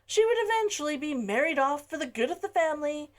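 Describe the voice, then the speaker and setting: A woman's voice: high-pitched, expressive, fast talker. A woman reading her novel aloud chapter by chapter, giggling between lines in the intro. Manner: giggly, laughs between sentences. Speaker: read-along narrator. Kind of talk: casual intro and story reading.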